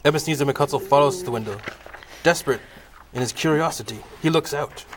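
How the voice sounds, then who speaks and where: A man narrating a scripted comic audio play in a measured, formal storytelling manner. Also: on the high side, background noise.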